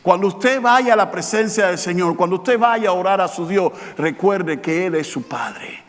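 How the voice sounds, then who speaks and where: Man, pastor, high voice, emphatic preaching, swings from loud to hushed, long hall echo. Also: normal speed. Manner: preaching with emphatic repetition, rising and dropping volume. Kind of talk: sermon.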